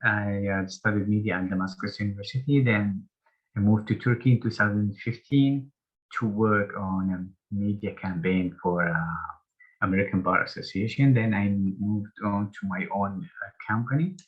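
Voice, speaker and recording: A somewhat low-voiced man from Syria joins a panel over a video call. He is friendly and restarts his phrases often. The sound is dull and lo-fi. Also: fairly even intonation, moderate pace. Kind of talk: online panel introduction.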